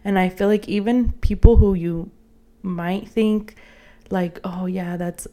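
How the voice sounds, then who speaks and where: A woman's voice: mid-range voice, fairly even intonation, slow pace, dark tone. A woman co-hosting a podcast in casual personal chat, thinking aloud in a hesitant, conversational way. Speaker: podcast co-host.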